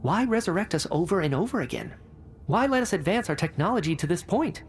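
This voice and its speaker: An actor playing a confident, determined researcher in a video game, articulate and deliberate in delivery. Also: medium pitch, big pitch swings, very noisy, close to an everyday voice. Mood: assured, defiant.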